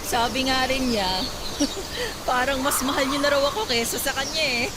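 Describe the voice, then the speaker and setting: A woman's voice: high-pitched, expressive, moderate pace. A woman playing a sweet, helpful, joking younger sister-in-law in a Tagalog radio drama, with a light, agreeable conversational manner. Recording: music playing behind the voice.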